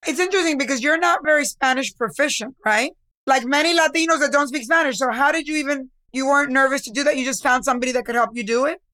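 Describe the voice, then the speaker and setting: A woman hosts the interview and asks curious, encouraging follow-up questions. Her voice is high for a woman, and she interjects to steer the conversation. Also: quick pace, pushed and bright. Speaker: podcast host.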